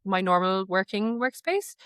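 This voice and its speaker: A woman's voice: medium pitch, animated, measured pace, fairly bright tone, clean tone, no room sound, studio quality. A woman speaking as an interview guest, explaining a work process step by step. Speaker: guest, AI knowledge manager.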